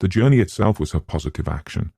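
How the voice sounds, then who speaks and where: A man narrating a literary novel as an audiobook in measured, scripted storytelling. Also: sits low, lively intonation, vocal fry, mellow, husky, dry recording, studio quality.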